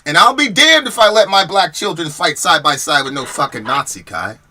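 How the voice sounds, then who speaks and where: A man's voice: a little high, expressive, moderate pace, pushed and bright, a little hiss or hum. An American man hosting a podcast, giving heated commentary on chat comments, arguing emphatically with rhetorical questions and repeating himself as he gets worked up. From United States. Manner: repeats words and restarts when worked up. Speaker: podcast host.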